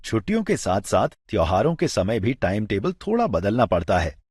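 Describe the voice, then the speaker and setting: Affable, inquisitive family man, educational drama, husky low-set voice with animated delivery. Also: moderate pace, no echo, studio quality, exaggerated voice. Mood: agreeable, thoughtful. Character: good-humoured, curious, agreeable Indian father and husband. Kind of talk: scripted educational audio drama dialogue.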